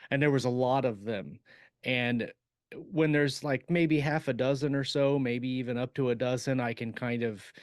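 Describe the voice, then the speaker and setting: A man in a casual podcast discussion about the craft of writing, recorded close and dry. His voice sits at a middle pitch. He thinks aloud as he goes, halting and searching for the right word. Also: no echo. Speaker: podcast host and science fiction author.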